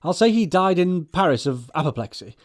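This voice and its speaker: An actor playing an earnest, easily flustered young upper-class English gentleman with a proper, fussy manner, in a stage comedy audio production. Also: high-pitched, very animated, fairly quick. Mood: fussy, decided.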